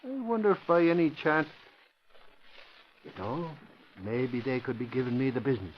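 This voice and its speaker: An actor playing a blustery, excitable middle-aged male reporter in a vintage radio drama. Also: mid-range voice, moderate pace, natural voice. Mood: exasperated. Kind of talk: 1940s radio acting. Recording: muffled vintage radio recording with faint hiss.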